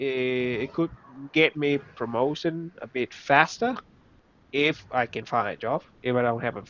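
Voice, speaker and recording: A young man from Vietnam with a Vietnamese accent, speaking English as a second language in a casual interview, halting, with many restarts. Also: medium pitch, a bit bright, slight background noise. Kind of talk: casual reflective chat. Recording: slightly dull, dry recording.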